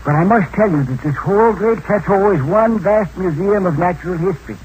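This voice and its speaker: A mysterious old hermit of the wilds, played by a man, folksy and philosophical, his drawl set fairly high. He sounds like an old radio broadcast with a faint hiss behind him. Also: a little fast, a little mellow, dull audio, lightly acted. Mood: musing. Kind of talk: 1940s radio acting.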